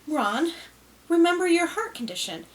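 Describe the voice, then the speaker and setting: A woman's voice: very high-pitched, sing-song, moderate pace, recorded from a distance, pitched above the performer's own voice, poor audio. A woman voicing a bossy, testy middle-aged widow.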